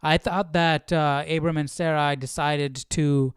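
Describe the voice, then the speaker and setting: A man's voice: a little high, steady tone, a little slow, smooth, no echo, studio quality. A man casually commenting on what he reads as a stream host, musing and questioning as he works out his thoughts. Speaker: host of a live Bible study stream. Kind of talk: casual reading commentary, thinking aloud.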